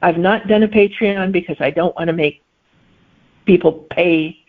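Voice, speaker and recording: A middle-aged woman, a guest author, answering interview questions in a thoughtful way and pausing to find her words. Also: on the low side. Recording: phone-like remote line.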